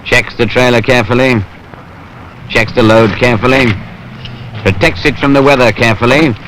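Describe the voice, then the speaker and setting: A man's voice: medium pitch, fairly even intonation, moderate pace, fairly bright tone. A British man giving wry, colloquial, conversational narration for a film. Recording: noisy 1970s industrial film soundtrack.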